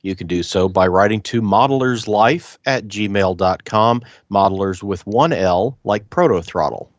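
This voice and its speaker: A man's voice: fairly low pitch, measured pace. A man co-hosts a casual podcast, teasing his partner and slipping into a mock announcer tone. His audio sounds dull and lo-fi. It is dry, with no room echo. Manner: joking, mock-formal announcer delivery. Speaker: podcast co-host.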